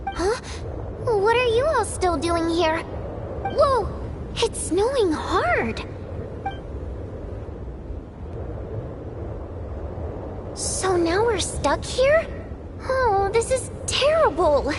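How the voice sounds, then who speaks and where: A woman playing a lively, sharp-tongued young female theater star in a video game, expressive and bossy. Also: extremely high pitch, slow, noisy background, heavily acted. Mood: surprised, dismayed. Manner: expressive, exclamatory.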